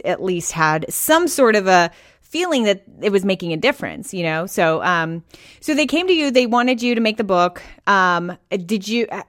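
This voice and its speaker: A woman's voice: medium pitch, no room sound, clean studio sound. A woman hosting a podcast who interviews her guest in an encouraging, reflective way, with many casual fillers. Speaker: podcast host.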